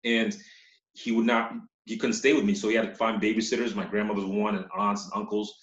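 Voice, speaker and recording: A man from Uganda who moved to the US as a child, talking casually as an interview guest, halting and repeating words. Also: fairly low pitch, little pitch movement, a bit bright. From Uganda, moved to Massachusetts as a child. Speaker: interview guest, company CEO. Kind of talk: casual conversational storytelling. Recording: echoey room, dull muffled sound.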